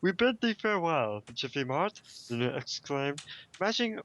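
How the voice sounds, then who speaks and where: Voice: medium pitch, very animated, moderate pace, fairly bright tone. A person reading a story aloud as an amateur, acting out bits of dialogue. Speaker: podcast participant reading aloud.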